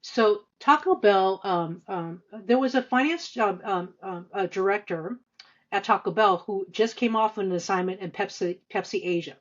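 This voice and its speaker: A woman in her fifties answering interview questions conversationally, with halting, hesitant speech full of stammered restarts. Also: mid-range voice, dull audio. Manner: halting, hesitant delivery with frequent stammered repeats and long filler runs. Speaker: podcast guest, senior finance executive.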